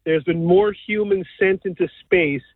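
A man's voice: sits high, moderate pace, dry recording. A man calling in to a radio show and explaining a fact with insistence. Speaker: caller. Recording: tinny phone line.

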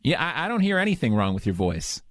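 A man's voice: mid-range voice, very animated, quick pace, fairly bright tone, dry recording. A man hosting a radio show in a studio, bantering with a caller and cracking self-mocking jokes. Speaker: radio host.

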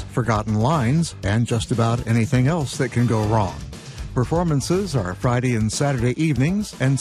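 A man's voice: mid-range voice, a little mellow. Man reading community announcements. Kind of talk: scripted radio announcement. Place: radio studio.

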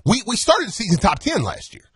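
A man hosting a casual sports talk show, excitable and prone to stammering restarts. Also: sits high, brisk, husky, dry recording, studio quality.

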